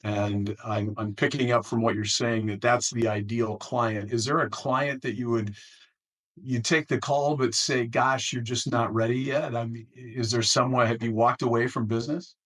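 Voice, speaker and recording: A man hosting an interview, reflecting on what he hears and posing questions in a deliberate, conversational way. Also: mid-range voice, steady tone, fairly bright tone. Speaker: interview host.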